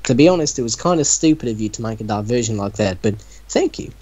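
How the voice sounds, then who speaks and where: A man's voice: on the low side, animated, fast talker, darkish tone. A man voicing teenage male friends with an Australian accent in a theatrical reading of amateur melodrama. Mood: earnest. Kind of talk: dramatic reading of amateur fiction.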